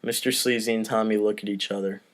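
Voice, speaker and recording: A man's voice: on the low side, fairly even intonation, fast talker. Man casually narrating a comedy script. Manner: offhand, breaking off for asides. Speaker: podcast host, script narrator.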